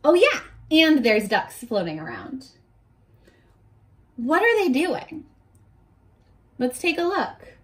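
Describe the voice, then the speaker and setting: A woman in her twenties reading aloud and teaching young children in an animated, encouraging way, pausing to ask questions, recorded at arm's length indoors. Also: sits high. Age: 20s. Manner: animated, encouraging, asks questions and pauses for answers. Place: small furnished bedroom, indoors.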